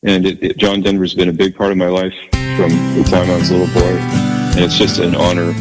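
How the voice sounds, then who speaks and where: A man with a low bass voice, chatting as a guest in an interview, speaking haltingly and modestly with many restarts. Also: monotone, in a large hall, loud music behind the voice. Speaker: guest musician. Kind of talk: casual interview chat.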